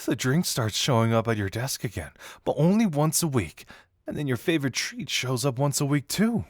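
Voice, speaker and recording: Casting: male actor as teasing young detective confidant for audio roleplay, animated intonation, amused conversational delivery, dry close sound. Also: medium pitch, no echo. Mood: teasing, impressed. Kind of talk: intimate scripted audio roleplay addressed to the listener.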